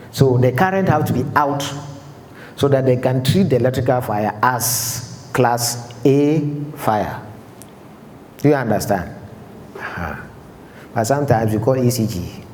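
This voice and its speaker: A man's voice: medium pitch, a little mellow. A man giving a fire safety seminar, explaining step by step and checking that the audience understands. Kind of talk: seminar lecture. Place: large lecture room. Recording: echoey hall with faint background noise.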